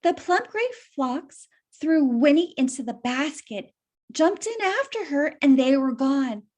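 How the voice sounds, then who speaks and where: A woman's voice: sits high, animated, measured pace, a little mellow. A woman reading a children's story aloud and explaining it simply, as if to young listeners.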